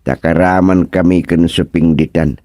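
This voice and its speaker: A man playing a flustered older village councilman in an Ilocano radio comedy, muttering and exclaiming with drawn-out words in an Ilocano accent. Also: deep voice, steady tone, darkish tone, no room sound, studio quality. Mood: grumbling, musing.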